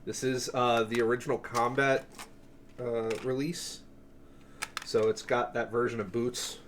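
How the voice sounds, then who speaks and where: A man with a medium-pitched voice talks casually through a show-and-tell of his collection. He rambles in an offhand way, cuts himself off and curses freely. There is some noise in the recording. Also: little pitch movement, unhurried, a bit bright. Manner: offhand, profane, self-interrupting. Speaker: music collector presenting a cassette collection.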